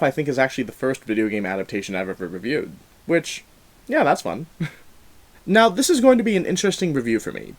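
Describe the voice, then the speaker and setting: A young man hosting a film review, talking in a conversational, wry and emphatic way through semi-scripted commentary. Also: medium pitch. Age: young adult.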